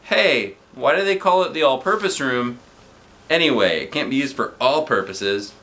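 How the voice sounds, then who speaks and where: Man playing a wisecracking nervous schoolboy, animated. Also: mid-range voice, normal speed. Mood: mock-incredulous, joking. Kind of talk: children's storybook read aloud.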